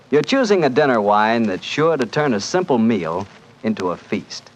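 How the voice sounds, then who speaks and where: A man with an American accent announcing and reading sponsor copy in 1940s radio style. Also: mid-range voice. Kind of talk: 1940s radio advertising read. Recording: dull, lo-fi 1940s radio broadcast.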